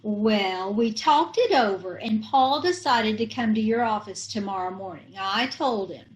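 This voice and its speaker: A woman's voice: mid-range voice, lively intonation, unhurried, lightly acted, poor audio. A woman playing a wife who stubbornly defends her brother in an old-time radio comedy, indignant and plain-spoken, over a video call.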